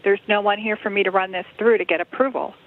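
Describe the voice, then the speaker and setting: A woman's voice: mid-range voice, fairly even intonation, fast talker. A woman speaking over the telephone, hesitant and politely noncommittal, as a church worker fielding a request. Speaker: church staff member. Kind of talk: unscripted phone conversation. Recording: muffled band-limited phone line.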